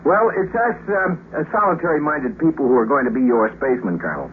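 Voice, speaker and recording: An American actor playing a laid-back, wisecracking, solitary astronaut captain in a 1950s radio drama, breezy and colloquial. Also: male voice, mid-range voice, lively intonation, fast talker, lightly acted. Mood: relaxed, chatty. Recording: muffled, noisy 1950s radio recording.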